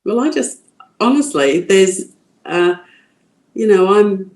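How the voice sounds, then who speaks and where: A woman's voice: medium pitch, animated, slow pace, darkish tone, low-quality recording. An older woman with an Australian accent from Queensland, a guest in a podcast interview, talking frankly and forthrightly with frequent restarts. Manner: frank, forthright, laughs easily, many restarts.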